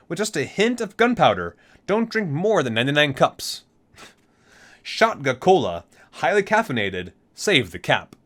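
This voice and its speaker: A man's voice: a little high, fairly bright tone. A man doing an ASMR reading, reciting expressively and dropping in casual, murmured offhand remarks. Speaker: video creator reading aloud from a game guide book.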